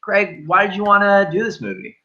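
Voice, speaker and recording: A man's voice: high voice, sing-song, brisk, highs cut off. A man hosting a casual movie review chat, speaking informally and jokily with the odd swear word. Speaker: film review show host.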